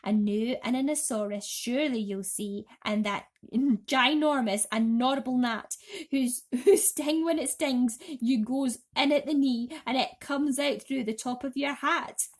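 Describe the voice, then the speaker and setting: A woman's voice: high voice, unhurried, pitched above the performer's own voice. A woman voicing an exuberant, mischievous male centipede, reciting in singsong storybook style. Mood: singsong, playful. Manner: exuberant, singsong rhyming delivery. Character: excitable, boastful male centipede, grinning and mischievous.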